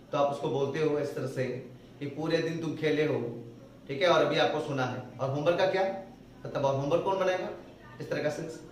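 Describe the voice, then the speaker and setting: Echoey Hindi-accented English teacher. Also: male voice, mid-range voice, a little hiss or hum. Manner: explains slowly, repeats phrases word by word. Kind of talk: classroom grammar lesson. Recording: echoey room, voice from far off.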